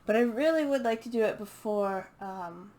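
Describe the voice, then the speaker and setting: A woman chatting casually and unscripted as a book vlogger, hesitant and halting as she thinks aloud. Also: medium pitch, lively intonation, warm tone. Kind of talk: casual unscripted chat to camera.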